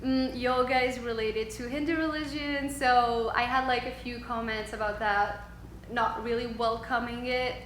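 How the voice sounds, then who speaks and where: A young woman, her voice set a little high. She talks hesitantly, with plenty of ums, and her speech rings in a roomy echo over faint background noise. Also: steady tone, moderate pace, fairly bright tone. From Switzerland. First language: French. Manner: hesitant, many ums, frequent laughs. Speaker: guest, yoga instructor. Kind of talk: casual interview.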